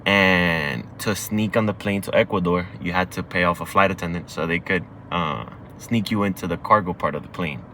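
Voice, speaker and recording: A man's voice: low voice, moderate pace, hardly any pauses, sharp tone, a little hiss or hum. A young man from Cuba whose first language is Spanish, telling his story casually and candidly from inside a car. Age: young adult. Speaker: guest.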